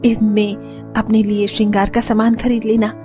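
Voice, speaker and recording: A woman voicing a gentle middle-aged mother in a cartoon family story, speaking in a measured, motherly way. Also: mid-range voice, level tone, music in the background, close to an everyday voice.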